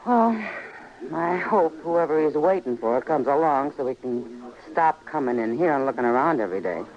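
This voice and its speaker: An actress playing a wry, world-weary American saloon keeper in a radio Western, talking in a dry, matter-of-fact way. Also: female voice, sits low, animated, moderate pace, darkish tone. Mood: uneasy, resigned. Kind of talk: old-time radio Western acting. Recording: muffled old radio recording.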